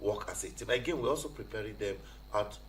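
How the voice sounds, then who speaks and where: A Nigerian-accented man with a low voice explains things as a studio guest. He speaks haltingly and repeats himself, with noise and a hum behind him. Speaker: guest, hackathon organiser. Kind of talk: radio interview, explaining. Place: radio studio. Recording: clear background noise with hum.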